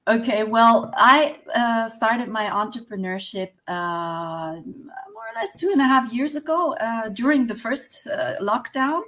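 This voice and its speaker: A woman's voice: a little high, expressive, unhurried, a bit bright. A Spanish woman in her forties, speaking English with a Spanish accent as an interview guest, hesitant and searching for words with many fillers. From Spain. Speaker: guest, online business manager. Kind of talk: casual interview chat.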